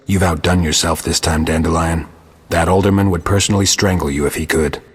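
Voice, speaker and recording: Very deep, raspy monster hunter. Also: male voice, fairly quick, in a large hall. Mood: exasperated. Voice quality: low gravelly rasp. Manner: dry, deadpan, terse. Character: gruff, sardonic male monster hunter, world-weary. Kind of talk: video game voice acting.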